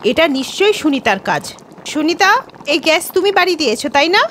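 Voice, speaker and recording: A bickering cartoon housewife, really high pitched, emphatic and accusing. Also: female voice, very animated, fast talker, a bit bright, a little hiss or hum.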